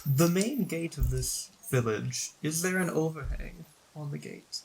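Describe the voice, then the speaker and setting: A man's voice: a little high, unhurried, background noise. A man talking casually as a player in a tabletop game. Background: clear background music.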